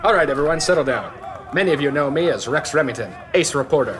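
An actor playing a brash, sleazy veteran reporter, gruff, blunt and self-important, in a comic audio drama. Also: male voice, fairly high pitch, expressive, quick pace, lightly acted. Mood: assertive. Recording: clear urban background noise.

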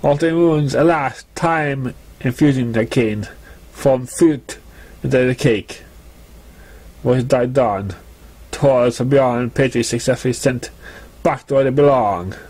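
A man reading a story aloud as an amateur narrator, with halting, stumbling delivery. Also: medium pitch, darkish tone. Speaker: amateur fan fiction reader.

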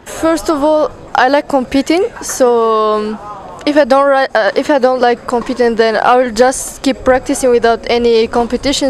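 A young woman from Tunisia, a junior tennis player, answering interview questions outdoors with many pauses and fillers. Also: on the high side, a little hiss or hum.

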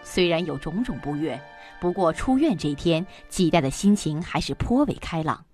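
A woman's voice: low voice, lively intonation, normal speed, darkish tone, soft background music. A woman reading scripted narration for a suspense audio drama.

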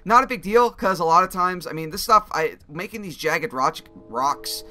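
A young man with a slightly raspy voice giving casual, excitable commentary while playing a game, speaking into a headset mic. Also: high-pitched, normal speed, soft background music. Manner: excitable, swears and yells when he fails. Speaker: gaming video creator. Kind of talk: casual let's-play commentary.

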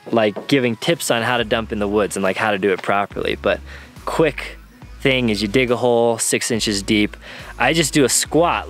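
A man's voice: medium pitch, a bit bright. A man in his thirties chatting casually as a camping vlogger, recorded on a camera held at arm's length. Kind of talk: casual vlog chat to camera. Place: inside a camper van and outdoors at a forest campsite.

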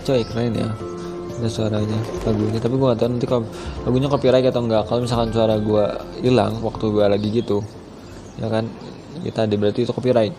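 Young Indonesian man, game music behind. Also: lowish voice. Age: young adult. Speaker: gaming YouTuber. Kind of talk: casual gameplay commentary. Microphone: headset.

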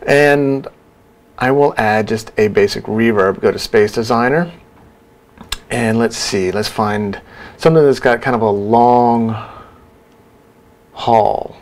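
A man in his thirties giving an informal software tutorial, casually talking through each step. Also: medium pitch, slow, long pauses. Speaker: sound design tutorial presenter.

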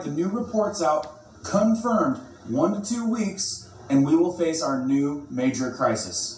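A man's voice: fairly high pitch, a little mellow, reverberant, a little hiss or hum, rough recording. A man in his thirties recording an urgent vlog from inside a parked vehicle, talking close to his phone with emphatic, repeated warnings. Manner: alarmed, emphatic, repetitive warnings. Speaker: prepping vlogger. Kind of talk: urgent news-style vlog.

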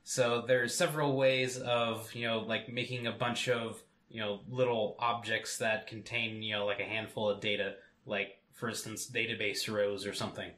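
A man's voice: fairly low pitch, flat delivery, moderate pace, few pauses, a bit bright, echoey room. A man co-hosting a casual tech podcast, rambling with many fillers. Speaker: podcast co-host.

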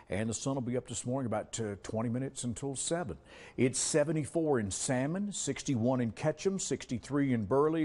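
A man's voice: mid-range voice, darkish tone. An American man in his forties presenting an ad-libbed TV weather forecast in a conversational way, with folksy asides. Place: TV studio.

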